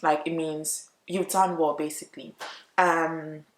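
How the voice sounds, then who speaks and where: A woman who speaks English natively, chatting casually to viewers as she explains language points, expressive and emphatic, repeating words for emphasis. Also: sits low, measured pace. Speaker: YouTube language vlogger. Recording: roomy, echoey room.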